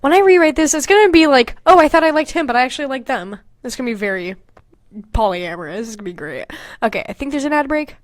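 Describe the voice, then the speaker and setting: A woman's voice: high voice, lively intonation, quick pace, dry recording. A young woman podcast host casually reading aloud with comedic commentary, swearing freely and mocking her own material in exasperated asides.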